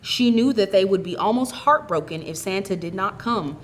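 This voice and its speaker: A woman reading a short story aloud as an expressive, scripted narrator. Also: mid-range voice, normal speed, a little hiss or hum. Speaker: story reader.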